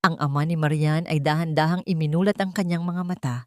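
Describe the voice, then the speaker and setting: A woman storyteller giving a solemn, expressive scripted narration of a dramatic audio story. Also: low-pitched, fast talker, dry recording, clean studio sound.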